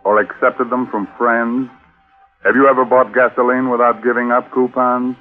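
A man's voice: medium pitch, flat delivery, moderate pace, phone-quality sound, soft background music. A man hosting a 1940s radio mystery show in a theatrical, sly, tongue-in-cheek manner. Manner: theatrical, sly, macabre joking. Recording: thin 1940s radio recording.

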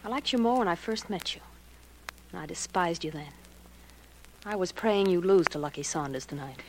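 An actress in a 1940s radio drama playing a jealous wife who makes cool, deliberate threats. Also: female voice, fairly low pitch, animated. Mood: cold, mocking. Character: jealous, menacing wife holding a gun. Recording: noisy old 1940s radio recording.

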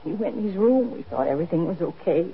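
An actress playing a worried, remorseful middle-aged housewife and daughter in a 1950s radio drama, speaking earnestly and hesitantly. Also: female voice, on the low side, lively intonation, fast talker, gravelly, breathy edge, full character voice. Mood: regretful, worried. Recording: dull, noisy 1950s radio recording.

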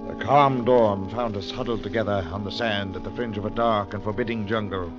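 A man acting as an educated gentleman explorer who narrates his adventure in a measured, literate, dramatic way in an old radio drama. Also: on the low side, fairly even intonation, natural voice. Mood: sober. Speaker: narrator and lead actor. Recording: dull vintage radio recording with music.

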